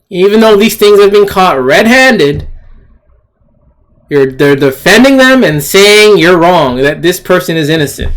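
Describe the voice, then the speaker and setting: Male commentator, voice on the higher side, unscripted and argumentative, repeats himself for emphasis. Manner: argumentative, scornful. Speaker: commentator hosting an opinion video. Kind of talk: unscripted opinion rant.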